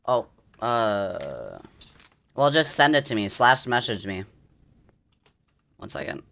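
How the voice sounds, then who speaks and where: Squeaky, bright-voiced teen boy streamer. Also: slow pace, gravelly. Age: teens. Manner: excitable, bickering with friends. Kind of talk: casual gaming chat with friends.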